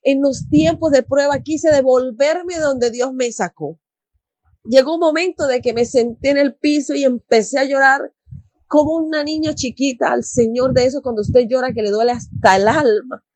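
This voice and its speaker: A woman's voice: sits high, steady tone, normal speed, a little mellow, dry recording. A woman giving a devotional personal testimony in an earnest, exhorting manner. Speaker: church member giving a testimony.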